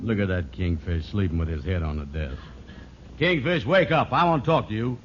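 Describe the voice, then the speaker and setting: An actor playing a gullible, blustering dupe in a 1940s radio sitcom, with a gruff, folksy, ungrammatical delivery. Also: male voice, lowish voice, lively intonation, normal speed, music in the background, lightly acted. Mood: startled, demanding. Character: gullible, blustering male dupe wanting his money. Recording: muffled, noisy old radio recording.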